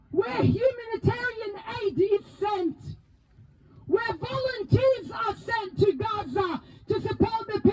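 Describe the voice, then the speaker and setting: A woman from Palestine, a native Arabic speaker, giving a loud, emphatic, impassioned speech as co-chair at an outdoor protest rally. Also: extremely high pitch, rough recording. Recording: outdoor rally, crowd and faint noise.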